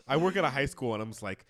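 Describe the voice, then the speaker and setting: A man's voice: medium pitch, heavy background noise. A man in his late twenties, co-hosting a casual podcast, talking in long rambling stretches with lots of fillers and self-deprecation. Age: 20s. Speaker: podcast co-host. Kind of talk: casual chat.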